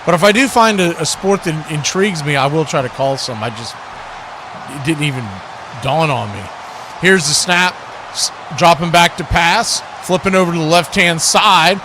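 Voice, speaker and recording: A man calls a football game in radio play-by-play style, dropping into casual asides. His voice sits a bit high for a man. There is a steady layer of noise behind him. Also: moderate pace. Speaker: live sports play-by-play commentator.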